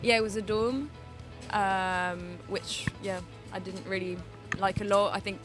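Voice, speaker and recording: A young woman student speaks outdoors with frequent pauses and restarts, hesitant and halting. Clear music runs behind her voice. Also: medium pitch, steady tone. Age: young adult. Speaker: university student. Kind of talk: casual street interview answers. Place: outdoors in a city.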